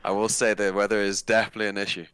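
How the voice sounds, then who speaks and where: A man's voice: on the low side, flat delivery, rapid-fire, a bit bright, clear, resonant tone, no room sound. A man in his twenties from Nigeria, speaking casually as a guest in an interview. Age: 20s.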